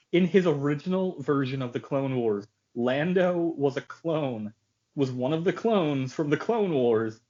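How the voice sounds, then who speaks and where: A man's voice: fairly high pitch, expressive, unhurried, a little mellow. Male podcaster in slightly muffled audio. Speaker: podcast co-host. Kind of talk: casual banter about films.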